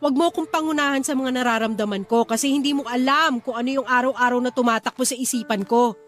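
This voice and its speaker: An actress playing a grieving, withdrawn and defensive wife, curt and emotional, in a Filipino radio drama. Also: female voice, sits high, fast, lightly acted.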